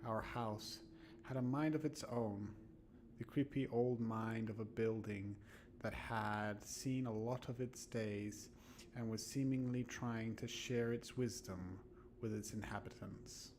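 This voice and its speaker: A man putting on a storytelling voice to narrate an eerie horror tale in a hushed, deliberate way. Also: fairly low pitch, steady tone, a little hiss or hum, voice pushed down. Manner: hushed, deliberate, smooth reading.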